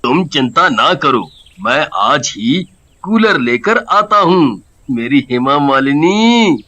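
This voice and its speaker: A man playing a blustering, flattering village husband and father in an animated story, theatrical and exaggerated. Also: on the high side, slow pace, exaggerated voice. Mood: sweet-talking.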